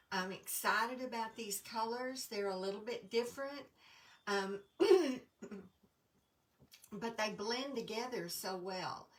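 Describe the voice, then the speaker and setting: A middle-aged woman with a stuffy, congested voice, chatting casually to viewers, with lots of ums and throat clearing. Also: medium pitch, a bit bright, distant mic. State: congested from allergies. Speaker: crafting demonstrator. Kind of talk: casual live-stream chat.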